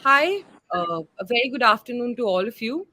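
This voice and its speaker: A woman moderates an online panel over a video call. Her voice sits a little higher than most, and she talks conversationally with frequent "uh" pauses. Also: very animated, bright, forceful tone.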